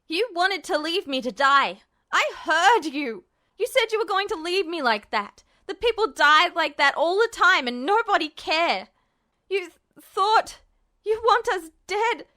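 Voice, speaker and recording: Defiant, mocking boy of twelve for audio fiction, played by a woman; high, bright, loud and sweary. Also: sing-song, a little slow, exaggerated voice. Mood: enraged. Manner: shouting, taunting, sweary.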